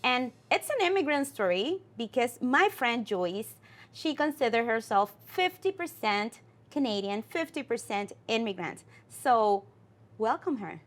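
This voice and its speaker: A woman hosting an interview, asking questions in simple, sometimes halting phrasing. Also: fairly high pitch, sing-song. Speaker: host.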